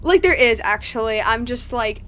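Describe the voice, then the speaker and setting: A woman's voice: a little high, lively intonation, normal speed, pushed and bright, slight background noise. A woman giving casual reaction commentary as she plays a game.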